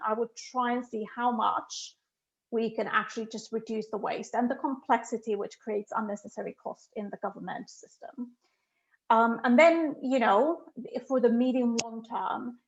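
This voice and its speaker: A woman's voice: fairly high pitch, vocal fry, highs cut off. Emphatic middle-aged woman from Finland. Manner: emphatic and thoughtful, many "you know" fillers. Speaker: guest economist. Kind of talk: answering questions in an online talk.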